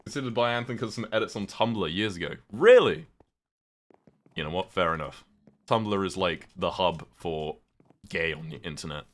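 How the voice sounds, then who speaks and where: Low-voiced British man chatting on stream. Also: moderate pace. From Britain. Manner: rambling, chatty, lots of "um" and "like". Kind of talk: casual live-stream chat while gaming.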